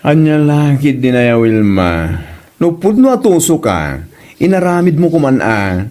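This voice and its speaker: Man acting a lively, blunt Ilocano friend. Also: a little high, unhurried. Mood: scolding, egging on. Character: teasing, blunt male friend egging others on. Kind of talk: comedy audio drama acting.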